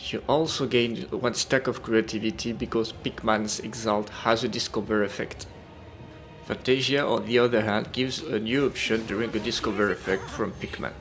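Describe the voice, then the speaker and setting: A man's voice: lowish voice, a bit bright. A man reading a scripted video game review as a voice-over. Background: music.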